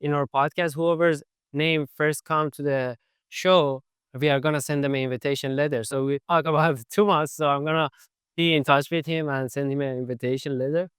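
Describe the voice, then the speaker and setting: A young man with as his hosts a casual interview, his slightly high voice smooth and clear. He talks loosely with frequent "like" fillers. The recording is dry and close. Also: steady tone, a little fast, fairly bright tone, dry recording. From Iran. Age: 20s. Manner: chatty, non-native phrasing, frequent "like" fillers. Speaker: podcast host.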